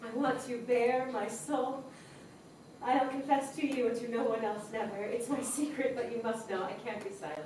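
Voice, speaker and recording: A woman's voice: fairly high pitch, fairly even intonation, normal speed, darkish tone, slight background noise, close to an everyday voice. An actress playing a woman secretly in love who confides in her sisters, in an earnest, confessional stage delivery. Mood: confessing. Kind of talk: stage play acting. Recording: echoey stage recording from far off.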